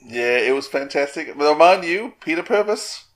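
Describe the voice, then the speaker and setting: A man co-hosting a podcast, chatting casually in a halting way with restarts. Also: on the high side, expressive, crowd noise. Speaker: podcast co-host. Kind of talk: casual chat.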